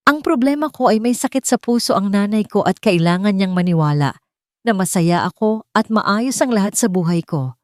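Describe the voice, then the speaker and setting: A woman's voice: mid-range voice, animated, normal speed, darkish tone, no echo, clean studio sound, close to an everyday voice. A woman playing a wealthy male CEO who speaks in a cold, controlled, insistent manner in an audio romance.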